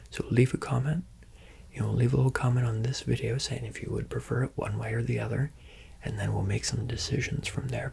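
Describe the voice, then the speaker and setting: Whispering man, gravelly and soft. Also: medium pitch. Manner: soft, close whisper, teasing and playful. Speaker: ASMR creator answering viewer questions. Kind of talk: whispered ASMR Q&A.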